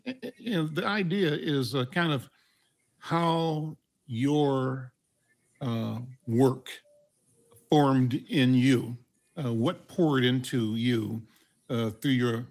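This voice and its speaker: Man, gravelly voice, host, community call, halting with fillers. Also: mid-range voice, darkish tone. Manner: halting, many fillers and restarts.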